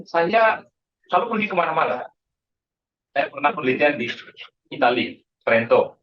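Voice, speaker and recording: A man's voice: a little high, big pitch swings, moderate pace. Indonesian male lecturer, dull lo-fi sound. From Bengkulu, Indonesia. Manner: conversational, frequent checking tags like "ya". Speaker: university lecturer. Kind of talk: informal lecture to students, mixing in English phrases.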